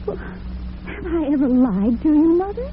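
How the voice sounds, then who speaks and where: A woman's voice: sits high, lively intonation, a little slow. A woman playing a devoted, nervous and defensive young working daughter in an old-time radio drama. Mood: defensive, flustered. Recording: tinny, noisy vintage radio broadcast.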